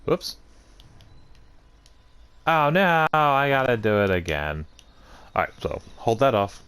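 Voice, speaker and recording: A man's voice: medium pitch, sing-song, takes it slowly, fairly bright tone, clean tone. A man doing casual let's play commentary, thinking aloud and muttering to himself as he plays. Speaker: gaming video host.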